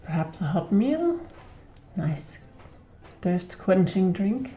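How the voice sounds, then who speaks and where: A woman playing a gracious, courteous innkeeper in a soft-spoken fantasy ASMR roleplay, with formal, unhurried phrasing. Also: medium pitch, very animated. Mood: gentle, attentive. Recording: roomy, echoing sound.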